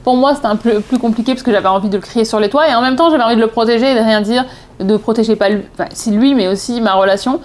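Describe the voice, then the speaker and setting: A woman's voice: fairly high pitch, fast talker, a little mellow. A woman in her thirties from southeast France with a French accent, telling personal stories in a chatty, digressive vlog style, often correcting herself. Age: 30s. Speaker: vlogger. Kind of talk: casual personal storytelling to camera.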